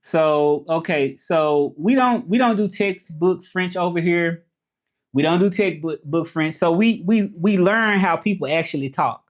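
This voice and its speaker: A man's voice: a little high, measured pace. A man in his thirties from Memphis with a Southern accent, chatting loosely on a livestream, greeting viewers and repeating himself as he talks. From Memphis, Tennessee. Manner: loose, repetitive, greets viewers and urges subscribing. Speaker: livestream host and French teacher. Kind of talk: casual livestream chat.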